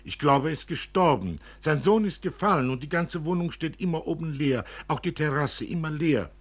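Man voicing an eager, high-pitched young girl. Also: lively intonation. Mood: eager, nervous. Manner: eager, quick. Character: eager, inquisitive young girl talking to her father.

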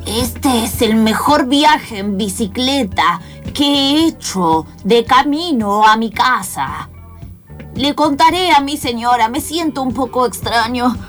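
A woman's voice: a little high, expressive, takes it slowly, a bit bright, faint music behind. A woman playing an eccentric male scientist, dazed and rambling in bewilderment, in an improvised radio play. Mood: wondering.

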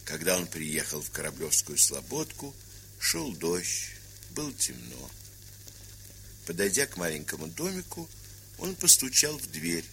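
A man's voice: low-pitched, wildly swooping pitch, unhurried, gravelly, a bit bright. A man presenting in a formal, scripted and declamatory literary narration. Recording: hissy, crackly vintage 1970s record.

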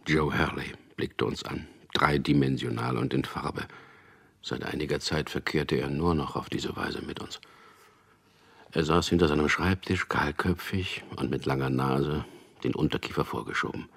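An actor playing a wry, weary propaganda copywriter in a German radio drama, speaking in a dry, ironic way. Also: male voice, extremely low pitch, fairly even intonation, normal speed, a bit bright, heavily acted. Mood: wry, resigned. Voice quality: husky, gravelly. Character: wry, weary male propaganda copywriter, narrating his story. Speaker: lead actor and first-person narrator.

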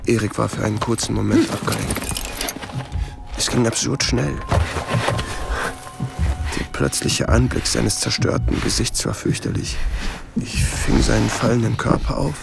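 An actor playing a haunted man who tells of a wartime killing in terse, measured radio drama narration. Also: medium pitch, steady tone, gravelly, heavy background noise. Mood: grave, tense. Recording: loud music under the voice.

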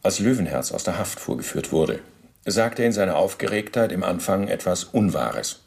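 A former SS officer giving testimony in a radio drama. The voice is low, and the wording is stiff officialese. He justifies himself in pedantic detail and stays formally deferential to his interrogator. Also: very animated, moderate pace, creaky, heavily acted. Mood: self-justifying, precise. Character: male former SS officer under interrogation, self-justifying bureaucrat. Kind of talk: radio play, testimony monologue.

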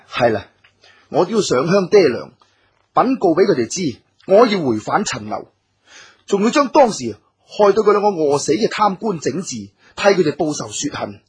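An actor playing a dutiful, filial scholar-official in a radio drama, speaking measured, formal Cantonese with a husky voice. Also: male voice, high-pitched, sing-song, exaggerated voice. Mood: resolute. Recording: music under the voice.

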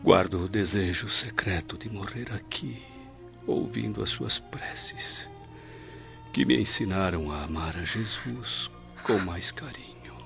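A dying older Roman tribune in a radio drama, humble and full of remorse. The words come slowly and haltingly, weak and crackling with fry. Music plays under the dull recording. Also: male voice, low-pitched, a bit bright, full character voice. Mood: weak, tender. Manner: solemn, halting, pleading. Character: dying repentant Roman nobleman, older man, humble and devout. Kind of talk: old radio drama acting.

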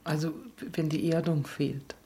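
A woman's voice: sits low, little pitch movement, a little slow, dark tone, breathy edge. A woman interviewing someone in an intellectual conversation, probing and summing up what she has heard. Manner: probing, summarising the guest's points.

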